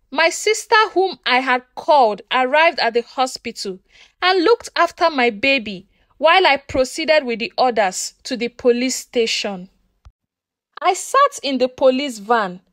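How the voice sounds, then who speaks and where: A woman with a Nigerian accent narrating a first-person folktale in an expressive, deliberate, emotive way. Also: sits high, no room sound. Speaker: storyteller.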